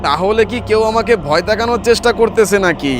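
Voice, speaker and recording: A man's voice: sits high, lively intonation, fast. A man playing a nervous, stammering school worker in a horror cartoon. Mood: uneasy, puzzled. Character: frightened male school worker sent to clean at night. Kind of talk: amateur animated horror cartoon dub. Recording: loud music behind voice.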